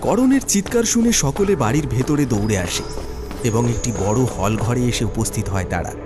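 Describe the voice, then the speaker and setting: A man's voice: medium pitch, animated, fairly quick. A man narrating a scripted horror story in a dramatic style.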